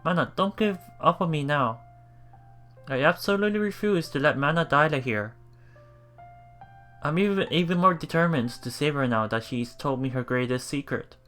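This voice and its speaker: A man's voice: on the high side, moderate pace, a bit bright, soft background music, natural voice. A man voicing a desperate, determined young male protagonist of a visual novel, pleading and intense.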